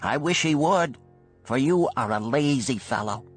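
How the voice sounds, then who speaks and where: A man's voice: mid-range voice, expressive, measured pace, husky, faint music behind. A man voicing a simple-hearted donkey in a storybook fable, with an emphatic, advising manner. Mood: bitter. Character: kindly but exasperated donkey, male, simple-hearted.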